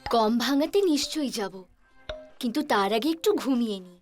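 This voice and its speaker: A woman voicing a young, chatty newlywed village wife in a dramatised horror story, girlish and expressive. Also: on the high side, normal speed, soft background music, natural voice. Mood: coy, domestic.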